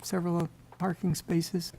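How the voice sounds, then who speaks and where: An American man with a slightly hoarse voice, chairing a public board meeting in an informal, halting way. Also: high-pitched, level tone, warm tone. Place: town board meeting room.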